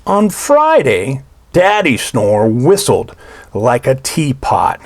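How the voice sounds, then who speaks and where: A man's voice: medium pitch, wildly swooping pitch, slow, a little hiss or hum. A man reading a children's storybook aloud in a theatrical, playful way, drawing out his phrases.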